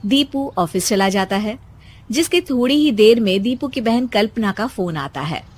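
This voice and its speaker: A woman's voice: a little high, lively intonation, normal speed, a little mellow. A woman narrating a scripted story.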